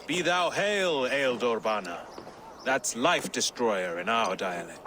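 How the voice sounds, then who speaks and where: Game role: wry, eloquent English spymaster, theatrical and witty, noisy backdrop. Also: male voice, medium pitch, expressive, slow pace. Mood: sly, amiable. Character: witty, worldly English spymaster with playful flourishes. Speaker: informant ally. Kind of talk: dramatic game cutscene dialogue. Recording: clear background noise.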